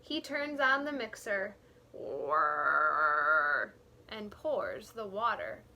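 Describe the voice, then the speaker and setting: A woman teacher reading a picture book aloud to young children, expressively and deliberately, pausing to explain things in simple words. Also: medium pitch, very animated, bright, forceful tone, muffled recording. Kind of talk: read-aloud storytelling with explanatory asides.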